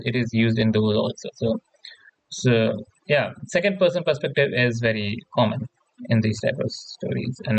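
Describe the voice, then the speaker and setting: A man with a mid-range voice explains material like an informal online tutor. He speaks haltingly, circles back over his phrases and keeps checking that the listener follows. The sound is dry and close, slightly dull and lo-fi. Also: mid-range voice, no echo. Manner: halting, repetitive, often seeks agreement with "right?". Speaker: tutorial presenter. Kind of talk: informal lecture explaining slides.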